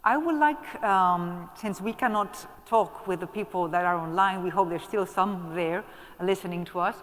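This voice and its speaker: A woman's voice: fairly low pitch, moderate pace, fairly bright tone. A woman moderating a conference panel, coaxing and encouraging the audience to speak up. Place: conference hall. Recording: long hall reverb.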